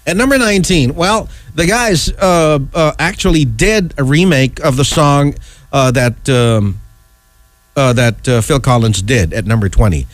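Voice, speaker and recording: A middle-aged man hosting a radio chart countdown in a casual, ad-libbed way, rambling and halting, often checking in with an "okay". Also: mid-range voice, no room sound. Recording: music playing behind close, dry voice. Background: music.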